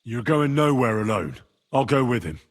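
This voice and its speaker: A man's voice: mid-range voice, sing-song, normal speed. A man playing an earnest, brave young medieval adventurer in a game, plain-spoken and reassuring. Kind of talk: dramatic game cutscene acting.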